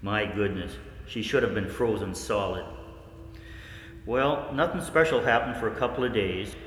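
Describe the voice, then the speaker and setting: Here is a man playing a researcher who narrates a 1940s radio play like a folksy storyteller. His voice sits fairly low and his delivery is measured. It rings in an echoey hall with slight noise behind. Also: lightly acted. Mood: wondering.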